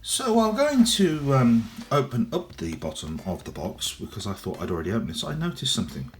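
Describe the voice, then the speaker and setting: A young man with a cold, his voice deep and gruff, chatting casually and rambling as he unboxes and reviews a toy. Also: wildly swooping pitch, fairly quick, faint music behind. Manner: rambling, chatty, frequent ums. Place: indoors at a table, off camera.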